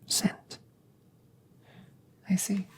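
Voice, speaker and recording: A woman playing a calm, curious alien scientist in a soft ASMR roleplay, whispering and murmuring close to the mic in a measured, formal way. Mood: curious, clinical. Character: calm, clinical, curious female alien scientist examining a visitor. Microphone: close microphone.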